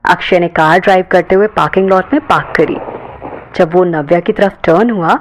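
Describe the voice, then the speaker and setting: A woman's voice: on the low side, fairly quick, slight background noise, poor audio. A woman reading a scripted audio story as a dramatic narrator.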